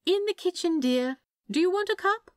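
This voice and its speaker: A woman's voice: extremely high pitch, lively intonation, moderate pace, dry recording, studio quality, pitched above the performer's own voice. A woman voicing a prim, doting male angel with a fussy, polite manner in a storybook reading. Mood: mildly exasperated. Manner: fussy, polite, cooing, tutting. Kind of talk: storybook character voice in fan fiction reading.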